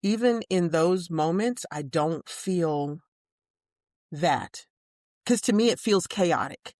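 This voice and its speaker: A woman co-hosting a casual podcast, speaking in a measured, calm way with sudden bursts of big laughter. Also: on the low side, no room sound, clean studio sound. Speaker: podcast co-host. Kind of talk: casual chat.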